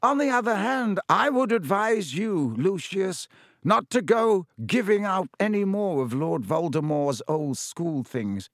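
A man voicing an elderly male wizard headmaster who speaks in a genial, measured way and warns gently. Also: high-pitched, animated, no room sound, clean studio sound, pitched above the performer's own voice, full character voice. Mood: wry.

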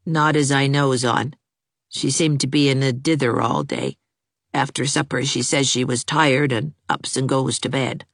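A woman reading aloud puts on a very old country aunt, stolid and a little deaf, speaking slowly and flatly in plain rustic dialect. The voice sits lower and sounds unlike the reader's own, dry and close with no room sound, as in a storybook reading. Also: no echo, heavily acted. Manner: slow, flat, rustic dialect.

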